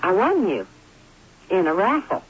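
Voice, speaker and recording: An actress playing a shy, self-deprecating middle-aged woman in a radio drama, hesitant, wistful and gently teasing, on an old broadcast. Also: medium pitch, very animated, measured pace, lo-fi sound.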